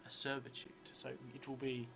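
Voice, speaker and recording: A middle-aged man improvising narration for a solo tabletop game, thinking aloud thoughtfully in halting, short phrases. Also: mid-range voice, monotone. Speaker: solo role-playing streamer. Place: indoor room set up for streaming, seated at a table. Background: music.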